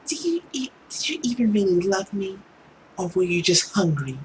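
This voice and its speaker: Man putting on a wounded, sharp-tongued woman, high voice. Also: sing-song, moderate pace, a little hiss or hum, rough recording. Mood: wounded, sarcastic. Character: spurned, sharp-tongued woman still in love. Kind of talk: dramatic visual novel reading.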